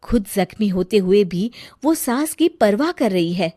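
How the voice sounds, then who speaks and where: A woman's voice: medium pitch, lively intonation, measured pace, mellow, dry recording, clean studio sound. A woman narrating a scripted cartoon family story.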